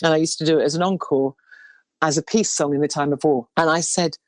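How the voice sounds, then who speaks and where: A woman in her sixties with an unusually low, baritone voice, talking in a loose, meandering way as she recalls her career. The sound is dry and close, with no room around it. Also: steady tone, fast, no room sound. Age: 60s. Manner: rambling, warm storytelling with restarts. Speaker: singer. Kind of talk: relaxed interview chat.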